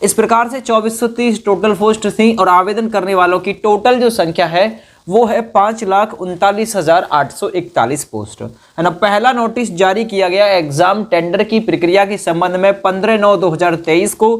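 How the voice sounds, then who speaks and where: A man's voice: sits high, normal speed, hardly any pauses. A man giving an informal explainer to students, repeating phrases for emphasis and checking in with short tag questions. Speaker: exam-news presenter. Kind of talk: informal explainer addressed to exam candidates.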